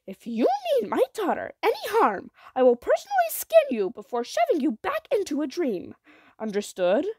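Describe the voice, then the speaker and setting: Storybook mother, woman performer: protective, firm and deliberate, with lively emphatic swings in pitch. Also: no room sound, clean studio sound, heavily acted. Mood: wary, threatening.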